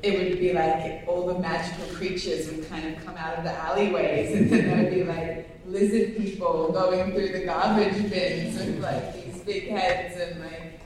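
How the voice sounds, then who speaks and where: A woman's voice: lowish voice, fairly even intonation, unhurried, a little mellow, slight background noise. A woman from Australia answering at a press conference, telling it with enthusiasm. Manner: enthusiastic storytelling. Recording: echoey hall from far off.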